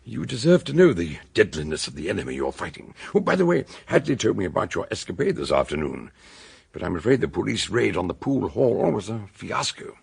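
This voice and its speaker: An actor in a radio detective drama playing an eccentric older doctor, a grave scholarly sleuth who speaks in a ponderous, theatrical way, with a gravelly, husky voice. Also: male voice, lowish voice, very animated, a little fast, full character voice. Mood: dry, grave.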